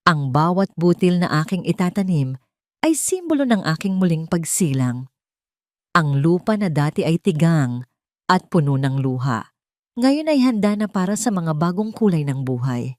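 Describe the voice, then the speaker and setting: Lady narrator telling an emotional drama story, slightly low voice, measured n serious, really dry close sound. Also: very animated, a little mellow, no echo. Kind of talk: dramatic first-person scripted narration of a love story.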